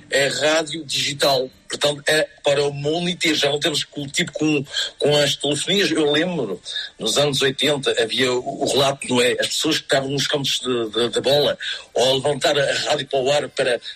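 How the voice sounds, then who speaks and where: A man's voice: mid-range voice, steady tone, a little fast, sharp tone, slightly rough, poor audio. A middle-aged man presenting on radio, talking discursively in a casual panel and often seeking agreement with tag questions.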